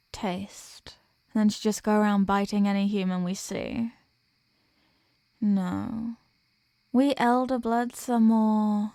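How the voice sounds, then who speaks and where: Slow, haughty vampire noblewoman played by a woman. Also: medium pitch, mellow, no echo, clean studio sound. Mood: seductive, selective. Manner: haughty, teasing, formal and deliberate diction. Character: dominant, aristocratic elder vampire woman, possessive and condescending. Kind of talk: ASMR roleplay audio drama.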